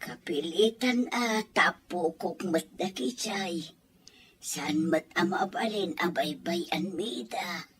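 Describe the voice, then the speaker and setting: A woman's voice: on the low side, slow pace, fairly bright tone, a little hiss or hum. A woman acting an older mother of grown children in an audio drama, earnest and reproachful, with a Filipino accent. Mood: gentle, motherly. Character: older Ilocano mother scolding and advising her grown children.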